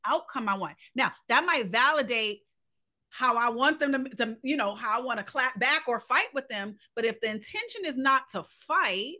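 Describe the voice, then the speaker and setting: A woman's voice: on the high side, expressive, moderate pace, bright, forceful tone. A woman co-hosting a podcast, talking earnestly and emphatically in a reflective conversational monologue, often posing rhetorical questions. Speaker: podcast co-host.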